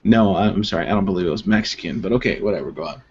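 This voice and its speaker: A man's voice: deep voice, racing, darkish tone, slightly rough. A man co-hosting a casual web show, joking and rambling with lots of fillers, heard over a video call. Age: adult father of two. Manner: rambling, playful, filler-heavy. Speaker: co-host. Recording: muffled video-call audio.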